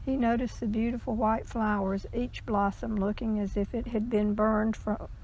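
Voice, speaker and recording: A woman reading a legend aloud in a measured storytelling style, with the odd stumble. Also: on the high side, fairly even intonation, a little mellow, lo-fi sound, slight background noise.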